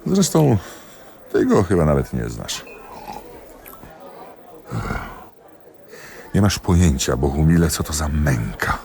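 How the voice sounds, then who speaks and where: Very deep-voiced, cynical jealous magistrate. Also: male voice, big pitch swings, normal speed, gravelly, a little mellow, heavily acted. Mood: agitated confession. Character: cynical, jealous male examining magistrate, confessing and agitated. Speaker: actor in a radio play. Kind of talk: theatrical radio drama dialogue.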